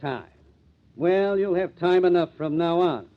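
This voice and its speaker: An actor playing a sardonic, commanding police detective in a 1950s radio mystery. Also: male voice, high voice, measured pace. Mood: sardonic, accusing. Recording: muffled, tinny old radio recording.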